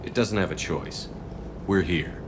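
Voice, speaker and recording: A decisive military commander in a sci-fi game, giving clipped orders. His voice is very low, with reverb and steady background noise. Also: measured pace. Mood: commanding.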